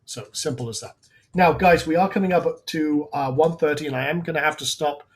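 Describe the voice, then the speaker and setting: Slightly high-voiced man going hoarse. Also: brisk. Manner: casual, talks through on-screen steps with frequent restarts. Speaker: webinar host. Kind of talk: live software tutorial with Q&A.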